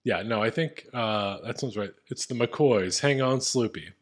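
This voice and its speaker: A man's voice: medium pitch, measured pace. A man co-hosting a podcast in casual, joking banter that can turn profane. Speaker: podcast co-host.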